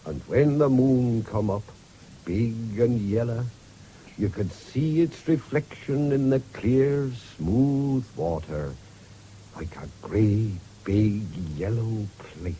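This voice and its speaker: A man's voice: fairly low pitch, warm tone. An actor playing a patient old farming grandfather in a radio drama, with a rural English accent and a soothing, coaxing manner. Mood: soothing storytelling. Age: older. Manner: soothing, coaxing, drawn-out delivery. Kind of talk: BBC radio drama acting. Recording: faintly noisy old radio broadcast.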